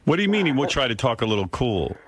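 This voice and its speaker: A man's voice: mid-range voice, sing-song, fast. A man hosting a radio talk show, blunt and needling as he grills a staffer. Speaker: radio host. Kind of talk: casual radio chat.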